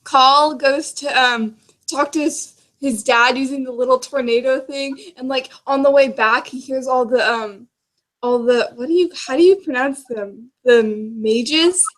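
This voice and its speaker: High-voiced young woman. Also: a little slow, fairly bright tone. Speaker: book discussion co-host. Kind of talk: casual book chat.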